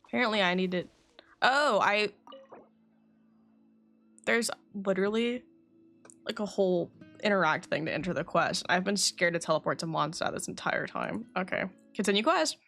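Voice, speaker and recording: A woman livestreams a video game in a casual, rambling chat. She speaks at a middle pitch and drops the odd swear word. Faint music plays behind her. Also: normal speed, creaky, pauses often, a bit bright. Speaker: game streamer.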